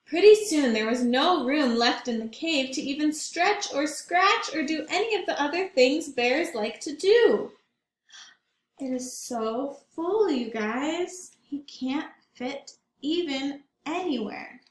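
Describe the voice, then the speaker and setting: Woman teacher, storybook read-aloud for kids; high voice, unhurried, echoey room. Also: expressive. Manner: gentle, slow, questioning. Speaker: teacher reading aloud to children. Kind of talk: children's read-aloud with questions. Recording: roomy echo.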